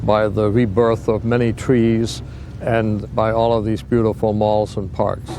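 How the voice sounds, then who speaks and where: A man giving measured interview remarks in full, formal sentences. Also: fairly low pitch, little pitch movement, mellow, a little hiss or hum.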